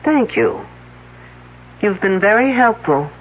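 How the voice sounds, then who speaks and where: A woman's voice: mid-range voice, very animated, takes it slowly. An actress playing a sardonic, unflappable female detective in a 1970s radio drama, wry and plain-spoken. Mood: brisk, probing. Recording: tinny, noisy old radio broadcast.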